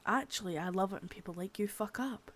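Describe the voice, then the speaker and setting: A woman voicing a sadistic, menacing female boss in an ASMR roleplay, whispering softly with spoken threats between. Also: medium pitch, brisk, lightly acted. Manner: taunting and threatening.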